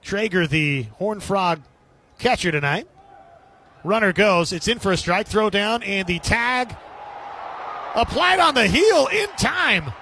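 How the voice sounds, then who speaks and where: High-pitched male baseball play-by-play announcer. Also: lively intonation, takes it slowly, long pauses, bright, forceful tone, a little hiss or hum. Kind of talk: live radio sports commentary.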